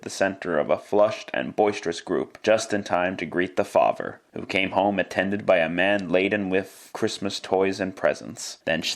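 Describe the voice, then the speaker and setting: Low-voiced male radio drama narrator. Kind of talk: scripted dramatic storytelling in classic radio style.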